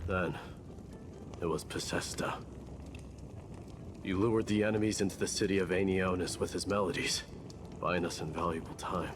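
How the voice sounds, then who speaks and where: A man playing a solemn warrior prince in a video game, recounting fallen comrades in a measured, dramatic way. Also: low-pitched, fairly even intonation, noisy background, natural voice. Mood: solemn grief. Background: music.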